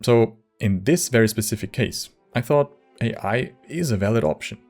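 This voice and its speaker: A man reading scripted video essay narration in a measured, wry manner. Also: lowish voice, lively intonation, darkish tone, soft background music.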